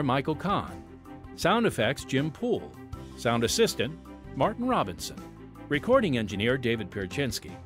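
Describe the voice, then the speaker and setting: An American man hosting a podcast with polished, theatrical scripted narration, speaking directly to the listener as a friend. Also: on the high side. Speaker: podcast host and announcer.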